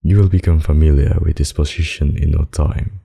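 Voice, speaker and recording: A man playing a centuries-old, possessive and sadistic vampire in an intimate ASMR roleplay, speaking in a husky, silky, dominant and condescending way. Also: very deep voice, steady tone, a little fast, warm tone, exaggerated voice. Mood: commanding.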